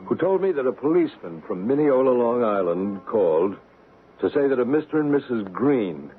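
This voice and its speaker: An American man playing a calm, methodical psychic investigator in a radio drama, matter-of-fact and explanatory. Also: mid-range voice, moderate pace, slightly rough. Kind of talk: 1970s radio drama acting. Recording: tinny, slightly noisy old radio.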